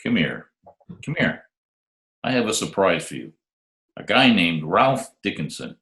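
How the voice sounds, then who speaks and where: A man playing a smooth, wisecracking hardboiled private detective in an old-time radio drama. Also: low-pitched, sing-song, measured pace, a bit bright, heavily acted. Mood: soothing, steady. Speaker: lead actor and narrator. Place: home, remote video call recording. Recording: roomy, echoey remote home recording.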